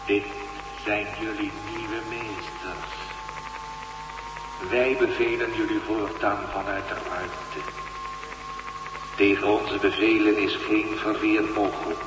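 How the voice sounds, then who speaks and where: An actor playing a cold, imperious alien master who gives slow, deliberate commands through heavy reverb in a radio drama. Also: male voice, on the low side, flat delivery, over music. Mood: cold, commanding. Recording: long reverb, clear hum and noise.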